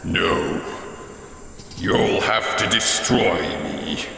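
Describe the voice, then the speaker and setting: Gravelly, echoing deranged alien tyrant. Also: male voice, deep voice, bright, forceful tone, a little hiss or hum, heavily acted. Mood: fanatical. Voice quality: gravelly, breathy rasp. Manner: grandiose, slow, menacing. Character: deranged alien tyrant father, self-proclaimed purifying saviour. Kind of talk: sci-fi audio drama acting. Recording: cavernous long reverb under music.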